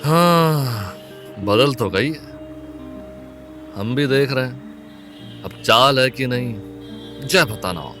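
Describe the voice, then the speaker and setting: Guy playing earnest young village lover, bright forceful voice, soft music under. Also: mid-range voice, animated, takes it slowly, long pauses. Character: young man Vicky, wounded, earnest lover. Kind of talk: Hindi audio drama acting.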